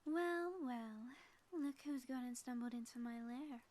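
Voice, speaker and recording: A woman voicing a theatrical, gloating female supervillain in an audio roleplay, teasing and grandiose with dramatic pauses. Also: a little high, darkish tone, lightly acted. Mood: gloating, taunting. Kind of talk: scripted audio roleplay, ASMR.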